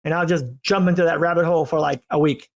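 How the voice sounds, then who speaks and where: A man with a notably high voice hosts a podcast in loose, casual conversation. He rambles and repeats himself, and his stories come out in eager, stop-start bursts. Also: quick pace, creaky. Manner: animated, rambling, repeats words. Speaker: podcast host. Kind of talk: casual chat.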